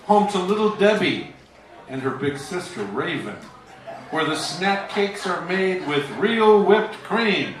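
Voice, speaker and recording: A man's voice: sits high, lively intonation, slow pace, background noise. A man playing a grandiose 1940s radio announcer in a parody of old-time broadcasts. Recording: echoey distant sound, music underneath.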